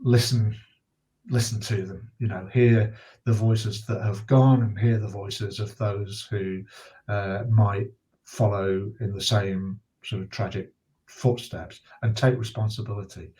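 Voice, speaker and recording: A man in his sixties with a fairly low voice talks earnestly as an interview guest. He is measured and reflective, often pausing to find the next phrase, in the manner of a retired journalist now campaigning for a cause. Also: fairly even intonation, dark tone, rough recording.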